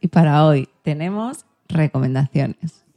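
A woman's voice: deep voice, a little slow. A woman presenting a podcast from a prepared script. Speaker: podcast host.

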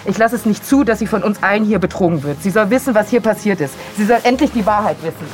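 A woman's voice: medium pitch, expressive, fast, faint music behind, lightly acted. An actress playing a fed-up, morally outraged woman in a radio drama, accusing and biting in manner. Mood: determined. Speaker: actor.

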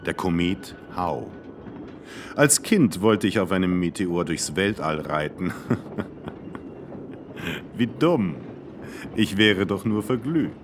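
An actor playing a weary small-time crook and variety artist in a German radio play, theatrical and resigned. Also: male voice, low voice, expressive, slow, long pauses, noisy background.

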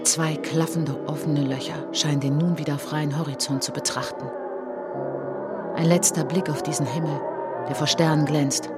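A woman performing a solemn narrator in a literary radio drama, speaking in measured, dramatic short phrases. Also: sits low, fairly even intonation, close to an everyday voice. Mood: grim. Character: solemn woman narrator recounting colonial atrocities as a traveller. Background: prominent music behind the voice.

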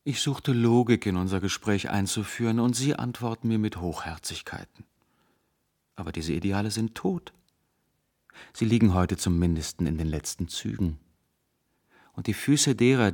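Low-voiced, severe dogmatic professor. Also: male voice, moderate pace, a little mellow. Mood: cold, lecturing. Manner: lecturing, cutting, absolutist rhetoric. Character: severe dogmatic intellectual zealot, male professor. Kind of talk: German radio drama acting.

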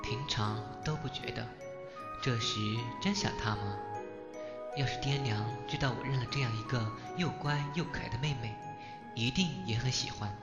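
A man's voice: mid-range voice, moderate pace, lightly acted. A man playing a kind, earnest teenage boy, a doting elder brother, in a Chinese fantasy audio drama. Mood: wistful. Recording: echoey, muffled, under loud music.

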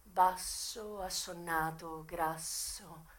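A woman performing a theatrical first-person monologue for radio, expressive, with dramatic pauses and sudden swells. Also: fairly low pitch, noisy background.

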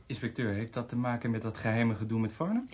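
An actor playing a chatty but deferential police station officer in a radio play, speaking Netherlands Dutch in an informal way. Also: male voice, medium pitch, fast, a little mellow, slightly rough, natural voice. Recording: noisy archive radio play recording.

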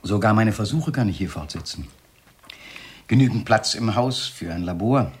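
Menacing doctor, radio play, husky, highly animated pitch, silky mock politeness, jealous, taunting. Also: male voice, normal speed. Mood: smug, urbane. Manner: silky mock courtesy breaking into outbursts and whispers. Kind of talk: German radio play acting.

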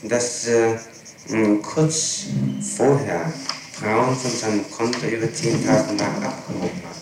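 A man's voice: lowish voice, measured pace. A man questioning formally as a prosecutor in an interrogation, methodical and probing. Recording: very echoey, noisy 1960s tape recording.

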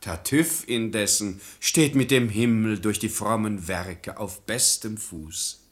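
A man's voice: lowish voice, takes it slowly. An actor playing a stubborn, irascible, domineering father in a theatrical radio play in verse, declaiming with pompous emphasis. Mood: earnest, persuading.

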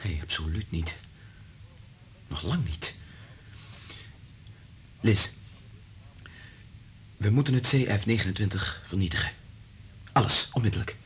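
An actor playing an anxious, principled research scientist in a Dutch radio drama, earnest and emphatic. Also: male voice, sits low, husky.

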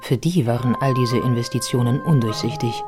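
A man playing a sober biographer who narrates a historical life in a measured, factual way for a radio drama. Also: medium pitch, loud music behind the voice, lightly acted.

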